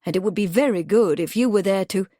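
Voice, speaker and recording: Woman voicing a calm measured gentleman. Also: no room sound, studio quality, lightly acted. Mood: earnest. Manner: measured, low and earnest.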